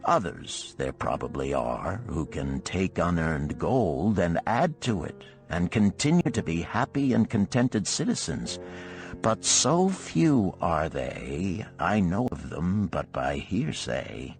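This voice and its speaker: A man's voice: sits low, very animated, slow pace, soft background music, heavily acted. A man voicing a wealthy, wise Babylonian man in a storybook, lecturing his friends in a grave, formal way. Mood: stern, instructive. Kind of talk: storybook character reading.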